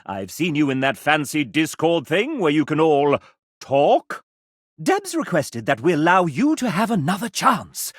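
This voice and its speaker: A man's voice: on the high side, sing-song, unhurried, dry recording, studio quality. An actor playing a sassy, theatrical male guide, narrating a video game in an arch, chatty way.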